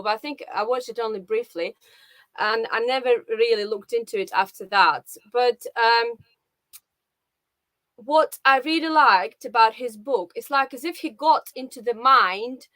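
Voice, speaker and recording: Russian woman guest, 40s, bright higher voice, dry close sound, hesitant with fillers. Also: no room sound, rough recording. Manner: hesitant, with many fillers and restarts. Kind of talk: casual conversation on a live video call. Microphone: video call.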